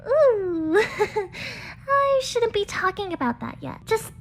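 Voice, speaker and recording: A woman's voice: very high voice, big pitch swings, slow pace, a little hiss or hum, full character voice. A woman voicing a feisty, defensive teenage schoolgirl in an anime visual novel fan dub, huffy, flustered and bossy. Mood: excited, giggly.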